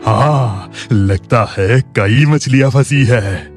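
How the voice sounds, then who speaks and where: A man's voice: medium pitch, slow pace, soft background music, natural voice. A man playing a resourceful, caring village husband in a children's cartoon story. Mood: reassuring.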